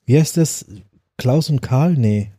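Husky man with dry brief remarks. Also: medium pitch, lively intonation, slow pace, dark tone, no room sound. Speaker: podcast co-host. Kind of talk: casual chat.

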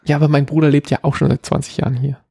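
A man's voice: a little high, flat delivery, racing, gravelly, mellow, husky, dry recording, clean studio sound. A middle-aged man from Berlin with a light Berlin accent, rambling through stories in a casual podcast chat. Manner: rambling, self-mocking storytelling. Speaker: podcast co-host.

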